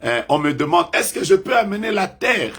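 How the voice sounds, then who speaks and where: A French-speaking man leading a live online prayer as a prophet, making emphatic, repetitive declarations that suddenly rise loud. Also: high voice, very animated, brisk, breathy edge.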